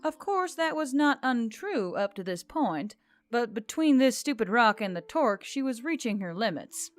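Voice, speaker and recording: Female audiobook narrator, higher register, scripted storytelling, very dry recording. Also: big pitch swings, moderate pace, no echo. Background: background music.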